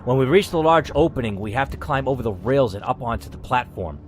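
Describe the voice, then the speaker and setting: A man hosting a dramatic story reading, who reacts out loud and swears as he weighs each choice. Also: mid-range voice, lively intonation, fast talker, slight background noise. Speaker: host reading an interactive story.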